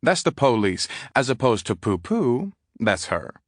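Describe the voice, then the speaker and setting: Audiobook character: flamboyant gossipy Southern man, drawling and theatrical, pitched high as a male narrator puts him on. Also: big pitch swings, a little slow, no echo, clean studio sound.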